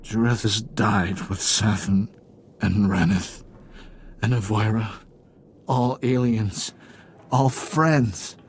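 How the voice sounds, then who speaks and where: A man playing a drugged, confused captive in a video game, halting and stammering in a helpless daze. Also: lowish voice, a little hiss or hum.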